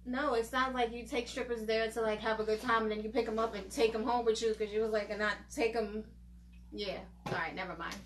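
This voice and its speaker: A woman's voice: fairly high pitch, fairly even intonation. A woman in her forties co-hosting a podcast, chatting casually and teasing her co-host. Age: 40s. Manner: teasing, chatty, quick to laugh. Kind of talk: casual chat. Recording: roomy with faint background noise.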